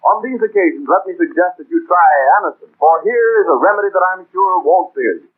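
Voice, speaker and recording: A man reading a vintage radio advertisement in a persuasive, measured manner. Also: high-pitched, lively intonation. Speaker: commercial announcer. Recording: tinny old radio recording.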